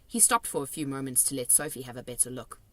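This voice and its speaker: A woman reading a children's story aloud as narrator, expressive and deliberate, with a dramatic storytelling manner. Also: rumbling low, no echo. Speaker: storybook reader.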